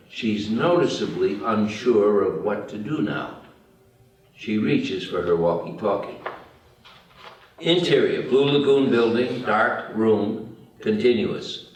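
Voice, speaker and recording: A man's voice: fairly low pitch, slight background noise. A man narrating the stage directions of a screenplay at a table read. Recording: roomy, distant mic.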